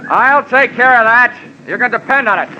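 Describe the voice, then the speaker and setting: An actor playing a wry, slangy, hardboiled insurance investigator who also narrates an old-time radio drama. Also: male voice, very high-pitched, moderate pace, a crowd in the background. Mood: firm bargaining. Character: wry, tough American insurance investigator hero. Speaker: lead and narrator. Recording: muffled old radio recording.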